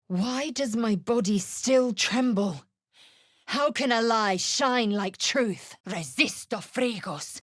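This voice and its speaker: An actress playing a stern, proud, impatient alien warrior woman in a video game, husky and clipped in delivery. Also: lowish voice, no echo, clean studio sound, exaggerated voice. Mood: cold, haughty. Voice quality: husky, raspy. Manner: clipped, haughty, commanding. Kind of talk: video game character acting.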